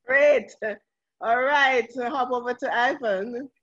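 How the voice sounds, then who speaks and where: High bright husky woman hosting a panel. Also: slow. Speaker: forum host and moderator. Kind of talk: moderated panel discussion. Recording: harsh, roomy, dull online call audio.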